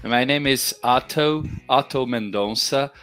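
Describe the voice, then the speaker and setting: A man's voice: medium pitch, a little slow, a bit bright, smooth, soft background music. A man hosting an online interview series, welcoming viewers and introducing his guest in an upbeat way. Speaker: interviewer host.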